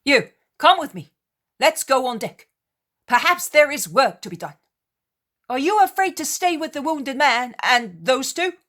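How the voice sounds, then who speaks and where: A woman voicing a blunt male fisherman who gives loud, urgent commands during a storm in an audiobook. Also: sits high, lively intonation, unhurried, pitched above the performer's own voice. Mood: commanding.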